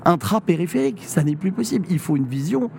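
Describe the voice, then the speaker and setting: A man's voice: sits high, very animated, fast, gravelly, darkish tone, slight background noise. Man talking policy with lots of ums. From Normandy, France. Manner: hesitant, many fillers and restarts, firmly emphatic. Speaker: district mayor, interview guest. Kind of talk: political interview on radio.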